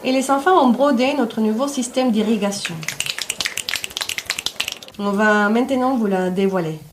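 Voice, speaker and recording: A woman's voice: fairly high pitch, unhurried, noisy background, natural voice. A woman playing an earnest, moved community member making a solemn announcement in an audio drama. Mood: moved, proud. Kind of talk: ceremonial speech in audio fiction.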